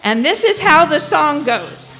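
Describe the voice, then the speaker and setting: Very high, bright-voiced woman leading children's songs. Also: big pitch swings, unhurried, rough recording. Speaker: children's sing-along leader. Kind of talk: animated talk and singing to children. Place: social hall, live event.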